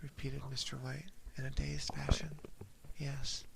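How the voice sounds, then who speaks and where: A man reading a story aloud as ASMR in a soft, hushed, unhurried whisper, close to a binaural microphone. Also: medium pitch, flat delivery, vocal fry, noisy background. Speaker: ASMR streamer.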